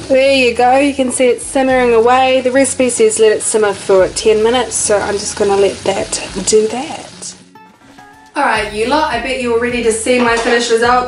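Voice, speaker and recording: A woman's voice: a little high, far from the mic. Chatty New Zealand woman, cooking vlogger, self-mocking, recorded off-mic in an echoey kitchen with faint music. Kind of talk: casual cooking vlog. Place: home kitchen.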